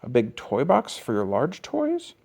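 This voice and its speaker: Storybook casting: papa bear, acted by a reader, firm and practical, emphatic with wide pitch swings. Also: huge pitch swings, measured pace, vocal fry, darkish tone, husky, full character voice. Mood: bright, eager. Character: adult father bear, firm, reasonable problem solver. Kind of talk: children's picture book read-aloud.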